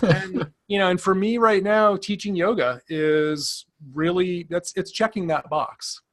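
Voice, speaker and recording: A man co-hosting a podcast in casual chat, relaxed and joking, with lots of fillers. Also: sits high, measured pace. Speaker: podcast co-host.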